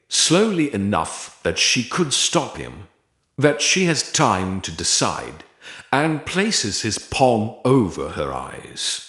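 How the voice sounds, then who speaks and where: Male narrator for dark romance audiobook: very slow, deliberate phrasing, sweeping intonation, roomy echo. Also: fairly low pitch. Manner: deliberate, dramatic pauses between short phrases.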